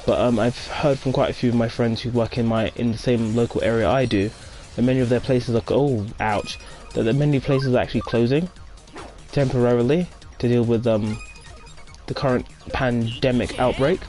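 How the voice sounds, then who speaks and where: A man talks casually over a game in a voice of ordinary middle pitch. His delivery is loose and chatty, drifting into side remarks between moments of play. Soft game music sits quietly underneath. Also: steady tone, moderate pace. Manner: chatty, rambling asides; reads game dialogue aloud. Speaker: let's play host. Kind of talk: casual gameplay commentary.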